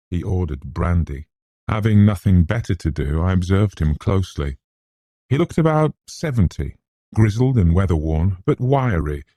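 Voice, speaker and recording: Deep, dark-voiced British man narrating gothic tales. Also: no echo, clean studio sound. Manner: scholarly, measured, formal. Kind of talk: scripted gothic audiobook narration in a mid-century classic manner.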